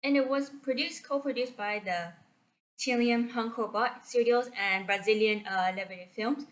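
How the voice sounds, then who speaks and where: A young woman from Malaysia with a slightly high voice talks through a movie summary into a computer mic. She hesitates often and fills pauses with ums and likes. She restarts her sentences as she goes. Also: poor audio. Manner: hesitant, many fillers and restarts, unsure of facts. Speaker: video creator. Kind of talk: casual spoken movie summary. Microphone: computer screen recording mic.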